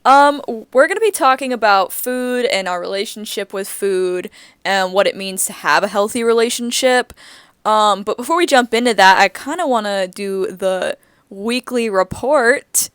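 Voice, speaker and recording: A woman in her twenties co-hosts a casual podcast chat, talking freely with frequent giggles and "like" fillers. Her voice is smooth and clear, a little groggy from the early hour. The sound is close and dry with no room around it. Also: medium pitch, normal speed, fairly bright tone, no room sound. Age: 20s. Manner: chatty, giggly, lots of "like" fillers. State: groggy morning voice. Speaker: podcast co-host.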